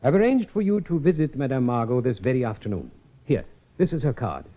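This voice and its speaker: A man's voice: mid-range voice, big pitch swings, brisk. Ominous, anxious man on tinny old radio. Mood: grave, insistent. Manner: dramatic, ominous, florid. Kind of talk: 1940s radio acting. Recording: thin, tinny 1940s radio recording, faint music.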